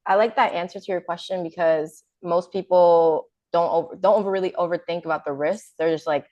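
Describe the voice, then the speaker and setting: A woman's voice: lowish voice, fairly even intonation, brisk, warm tone, no echo, dull audio. A woman hosting a casual interview, asking questions in a friendly, upbeat way.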